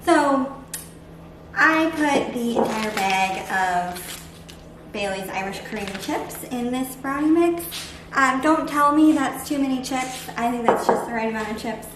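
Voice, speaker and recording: A woman's voice: sits high, animated, unhurried, fairly bright tone. A woman chatting casually as host of a home cooking vlog while she demonstrates things. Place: indoors at home, kitchen. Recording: echoey room with background noise.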